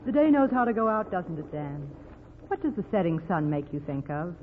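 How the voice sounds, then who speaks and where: A woman's voice: lowish voice, very animated, fairly quick, long reverb tail, slight background noise. An actress playing a brave, romantic young woman with a secret doom, in 1940s radio drama style. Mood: playful, tender. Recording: old phone-like radio recording with music.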